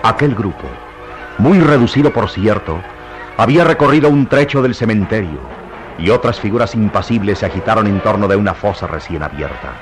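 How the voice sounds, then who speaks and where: An actor playing a grave, literary storyteller, narrating a gloomy mystery serial in measured, dramatic tones. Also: male voice, lowish voice, expressive, natural voice. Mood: grave, somber. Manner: solemn, measured. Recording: music under the narration.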